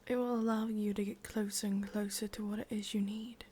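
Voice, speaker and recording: A woman with an English accent playing a possessive, lovesick witch in a whispered ASMR roleplay, coaxing softly and intimately, close to the microphone. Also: medium pitch, level tone, a little fast, close to an everyday voice. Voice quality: breathy, whispery.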